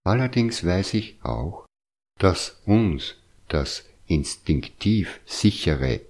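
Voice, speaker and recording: Male audiobook reader, extremely low and unhurried, measured, formal delivery. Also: a little mellow, breathy edge. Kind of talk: scripted reading of a reflective essay.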